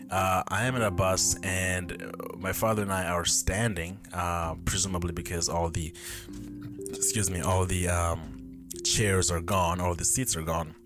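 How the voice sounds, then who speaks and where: A young man in his twenties with a deep, low voice hosts his own podcast, recalling early memories in a loose, rambling way. He often stops to correct himself and thinks out loud. Guitar music plays clearly behind him. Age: 20s. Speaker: podcast host. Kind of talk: casual solo storytelling. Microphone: camera mic.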